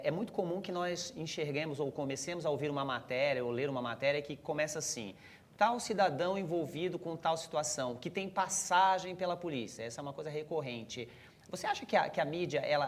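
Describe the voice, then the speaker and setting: A Brazilian man hosting a panel interview, asking formal questions with hesitant starts. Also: fairly quick. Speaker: host, interviewer. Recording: clearly noisy, low rumble.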